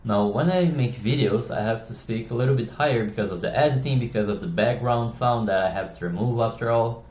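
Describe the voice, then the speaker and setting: A man's voice: mid-range voice, brisk, hardly any pauses. A man teaching how to use tone of voice, with a casual, rambling manner, and a habit of swearing. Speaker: communication coach. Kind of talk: casual explainer lesson. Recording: roomy, dull lo-fi sound.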